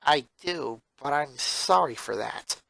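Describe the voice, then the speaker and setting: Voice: medium pitch, takes it slowly, vocal fry, no room sound, heavily acted. Someone voicing a dry, pedantic little girl genius. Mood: irritated. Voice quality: monotone. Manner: pedantic, wordy, dryly sarcastic.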